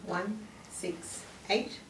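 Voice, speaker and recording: Young woman patient, short soft replies; distant, roomy clinic recording. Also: mid-range voice, fairly even intonation, drawn-out words. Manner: brief, quiet answers. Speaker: patient in demonstration. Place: clinic examination room. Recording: distant and roomy with faint hiss.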